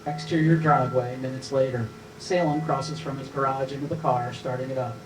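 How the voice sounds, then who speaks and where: A man's voice: mid-range voice, fairly even intonation, brisk, over loud music. A man narrating stage directions and scene headings at a screenplay table read. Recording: echoey room with clear background noise.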